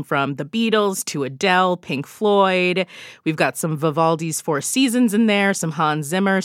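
Chatty woman with a lively low voice. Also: dry recording, clean studio sound. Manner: chatty, says "like" and "you know" a lot, laughs often. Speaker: guest events recommender. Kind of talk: casual chat.

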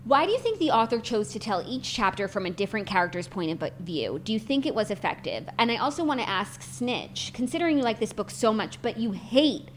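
A woman's voice: a little high, sing-song, brisk, few pauses, a little hiss or hum. A woman hosting a book podcast, chatting casually with many "like" fillers. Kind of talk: casual chat.

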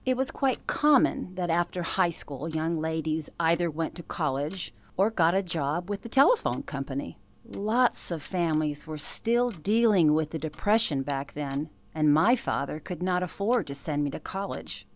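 An actress playing a composed, politely firm elderly former telephone operator in a radio drama, with measured, formal phrasing. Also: female voice, low-pitched, lively intonation. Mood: reflective. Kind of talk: radio drama interview acting.

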